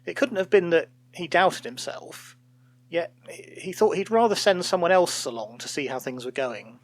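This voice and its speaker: Pendongeng pria yang sangat ekspresif. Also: male voice, on the high side, animated, normal speed, no room sound. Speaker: storyteller. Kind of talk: scripted fairytale narration.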